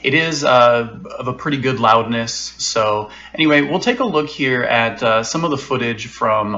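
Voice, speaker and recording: A man giving a casual, unscripted tech product review from home, relaxed, with frequent fillers and restarts. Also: mid-range voice, normal speed, muffled recording.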